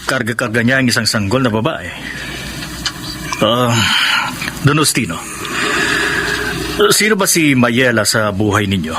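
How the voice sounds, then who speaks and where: An actor playing a greedy, scheming male lawyer in a Filipino radio drama, with a measured manner. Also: medium pitch, expressive, noisy background, close to an everyday voice. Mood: probing. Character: scheming, greedy male lawyer, deferential to his rich client.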